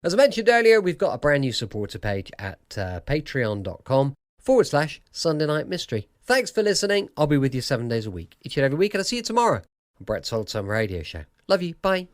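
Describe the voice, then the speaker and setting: A man's voice: a little high, big pitch swings, fast talker, dry recording, clean studio sound. A man hosting a radio podcast, chatting and plugging the show to listeners. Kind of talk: chatty show sign-off and promo.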